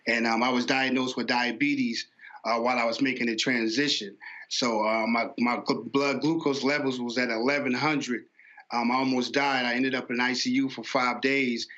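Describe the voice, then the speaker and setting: A man's voice: mid-range voice, flat delivery, moderate pace, sharp tone, muffled recording. A man being interviewed as an animation entrepreneur, explaining his business conversationally.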